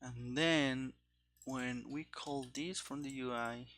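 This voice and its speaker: A man's voice: medium pitch, fairly even intonation, slow pace, a bit bright, slight background noise. A man muttering and thinking aloud in fragments as he gives casual commentary on live programming work. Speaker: programmer streaming game development.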